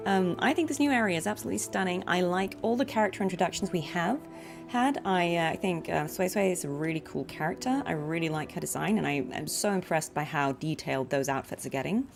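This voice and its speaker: Low-pitched woman chatting on a livestream. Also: expressive, fast talker, vocal fry, music in the background. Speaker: streamer and voice actress. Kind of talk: casual livestream chat.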